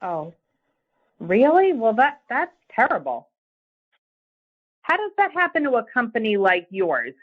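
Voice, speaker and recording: A woman's voice: fairly high pitch, wildly swooping pitch, unhurried, long pauses, breathy edge. A middle-aged woman talking on a phone call in a curt, haughty, scornful manner. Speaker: prank call target. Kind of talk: phone conversation. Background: music.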